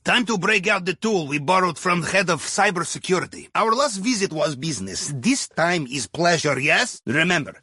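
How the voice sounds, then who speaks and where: A man's voice: high voice, sharp tone, slightly rough, no room sound, clean studio sound, full character voice. A man playing a jovial, wry Russian submarine captain in a video game, with a Russian accent and a playful, theatrical way of guiding his crew. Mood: jovial, teasing. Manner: playful, theatrical, drops articles, tags sentences with questioning "yes?" or "huh?". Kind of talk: video game mission dialogue over comms.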